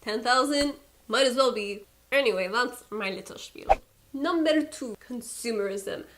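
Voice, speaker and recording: A woman's voice: on the high side, measured pace, noisy background. A young woman with a Persian accent, a beauty YouTuber talking casually to camera, chatty and exasperated with playful asides.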